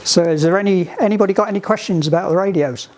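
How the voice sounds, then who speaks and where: A man giving hands-on instruction to a group in a halting, deliberate, emphatic way, on an amateur recording. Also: high-pitched, background noise. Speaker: sailing club instructor giving radio training.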